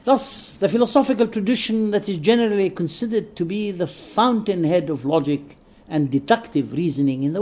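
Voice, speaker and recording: A man whose first language is not English, reading a prepared scholarly lecture in a measured way with soft hesitations. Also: sits high.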